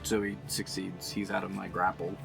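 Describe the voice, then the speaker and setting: A man's voice: sits low, monotone, moderate pace, gravelly, over music, rough recording. A man chatting casually as a player in a tabletop roleplaying game, informal and thinking aloud as he goes.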